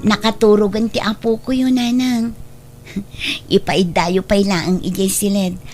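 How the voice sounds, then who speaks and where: A woman's voice: mid-range voice, little pitch movement, a little slow, a little mellow, natural voice. A woman playing a forgiving, respectful grown daughter and young mother in a radio drama, with an Ilocano accent. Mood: obliging. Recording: music bed behind the voice.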